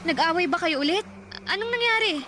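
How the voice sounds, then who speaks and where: An anxious young granddaughter. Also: female voice, extremely high pitch, expressive, a little fast, background noise. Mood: worried. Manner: anxious, questioning, emphatic. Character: young woman granddaughter, worried and outspoken.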